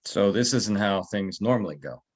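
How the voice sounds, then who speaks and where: A man playing a calm, probing security guard turned investigator in a naturalistic script read, low-key and terse. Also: moderate pace, breathy edge.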